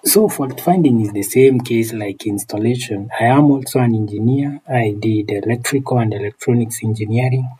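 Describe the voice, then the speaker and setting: A man's voice: medium pitch, normal speed, hardly any pauses, warm tone, husky, poor audio. A man with a Kenyan accent chatting casually on a livestream as he teaches repair and replies to viewers, often repeating his phrases. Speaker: phone repair technician and live streamer.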